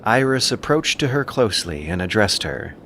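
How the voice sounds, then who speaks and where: Low-voiced man narrating epic. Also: sing-song, normal speed, a bit bright, a little hiss or hum. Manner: solemn, literary storytelling. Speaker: audiobook narrator. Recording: music behind the voice.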